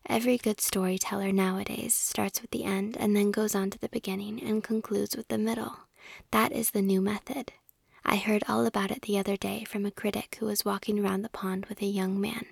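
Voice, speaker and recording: A woman's voice: mid-range voice, level tone, a little fast, creaky, breathy edge, dry recording. A woman whispering as a conceited water rat. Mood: condescending. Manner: know-it-all, condescending.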